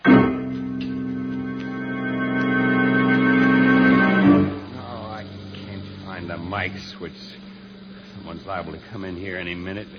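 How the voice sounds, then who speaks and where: An actor playing a brave, worried, resourceful sidekick muttering urgently to himself in an old-time radio serial. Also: male voice, low voice, fairly even intonation, moderate pace, loud music behind the voice, lightly acted. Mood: anxious, urgent. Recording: muffled old radio recording.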